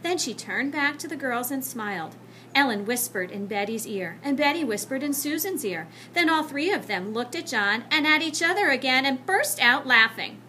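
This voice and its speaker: A woman reading a children's book aloud as an expressive narrator. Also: sits high, moderate pace, a little hiss or hum. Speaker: storybook reader.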